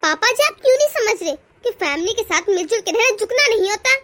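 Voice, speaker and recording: A woman's voice: very high voice, lively intonation, fast talker, a bit bright. A woman voicing a bold, defiant young daughter-in-law in a cartoon family drama. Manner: defiant, scolding.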